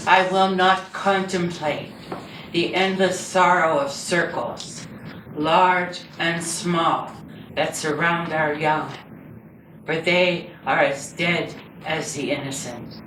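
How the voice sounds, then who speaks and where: A woman reading her own poetry aloud live, with measured, deliberate and dramatic phrasing. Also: lowish voice, fairly bright tone. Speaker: poet reading own work. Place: indoor reading before a group. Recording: roomy, distant mic with background noise.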